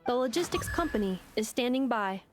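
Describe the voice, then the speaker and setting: A dutiful, robotic female logistics unit from a game, voiced by a woman, reports in clipped, formal phrases. The voice is high, with clear music behind it. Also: animated, fairly quick, natural voice. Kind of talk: video game dialogue acting.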